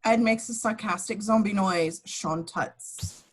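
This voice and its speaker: A woman's voice: medium pitch, takes it slowly, husky. A woman reading stage directions as scripted narration at an amateur table read.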